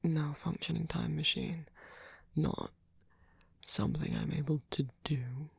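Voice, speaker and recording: Man acting a gentle ancient vampire boyfriend, ASMR roleplay, soft husky breath, creaky edges, halting, polite, fussing, reassuring. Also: on the high side, huge pitch swings, dry recording, studio quality, full character voice. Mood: earnest, tender. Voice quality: soft, breathy, often whispered. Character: gentle, doting, wealthy centuries-old male vampire boyfriend.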